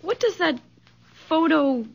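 An actress playing a distraught, bewildered young fiancée in a radio drama, agitated and questioning. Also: female voice, high-pitched, lively intonation, slow, vocal fry, heavily acted. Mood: shaken, bewildered. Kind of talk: old-time radio drama acting. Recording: dull lo-fi radio drama recording.

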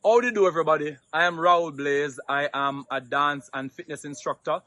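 A man in his thirties with a Jamaican accent introducing himself to camera outdoors, upbeat, with frequent "um" pauses. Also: on the high side, fairly even intonation, sharp tone, no echo. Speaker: dance instructor and cultural ambassador. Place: outdoors among green foliage.

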